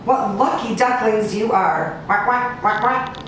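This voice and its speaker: A woman's voice: medium pitch, measured pace, a bit bright, close to an everyday voice. A woman voicing a protective mother duck from a children's storybook, bossy and fond, with emphatic quacking calls. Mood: relieved, fond. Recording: distant, very echoey room with hiss.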